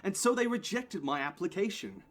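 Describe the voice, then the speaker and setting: A man's voice: high-pitched, very animated, moderate pace, fairly bright tone, pitched above the performer's own voice, full character voice. A man playing an anxious, unsure young security guard in an audio play, hesitant and defensive. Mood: disappointed.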